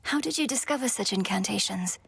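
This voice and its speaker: Creaky, earnest young witch princess. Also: medium pitch, expressive, a bit bright, exaggerated voice. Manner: formal, archaic phrasing, measured. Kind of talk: video game voice acting.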